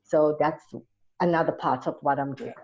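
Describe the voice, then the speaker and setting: A woman's voice: low-pitched, normal speed, a little mellow. A South African woman answering interview questions conversationally, with an earnest, enthusiastic manner. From Durban, South Africa. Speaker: interview guest, entrepreneur. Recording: dull, lo-fi audio.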